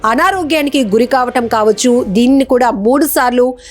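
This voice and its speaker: A woman's voice: fairly high pitch, normal speed. A woman presenting a scripted news explainer as a narrator. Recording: music bed behind the voice.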